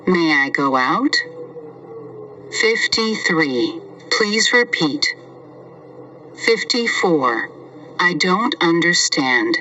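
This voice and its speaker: Man, English teacher, very slow, bright voice, says each phrase twice, distant dull recording with faint noise. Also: on the high side. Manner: reads each numbered sentence twice, deliberately. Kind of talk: slow scripted language lesson with repetition.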